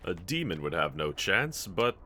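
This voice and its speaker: A man performs a gallant young demon slayer with a deep, bright-edged voice. His delivery is earnest and formal yet tender, with expressive rises and falls as he comforts a companion in an anime audio roleplay. Background ambience is clearly audible. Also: moderate pace, slight background noise, exaggerated voice. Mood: gentle, reflective. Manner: gentle, reassuring, earnest, formal phrasing. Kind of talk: anime audio roleplay acting, speaking to the listener.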